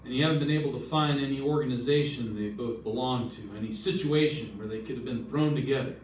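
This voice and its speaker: A man's voice: medium pitch, quick pace, slight background noise, close to an everyday voice. A man playing a dogged, wry insurance investigator in an old-time radio detective drama. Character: Johnny Dollar, dogged male insurance investigator, wry and probing. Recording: echoey stage, distant mic, faint music.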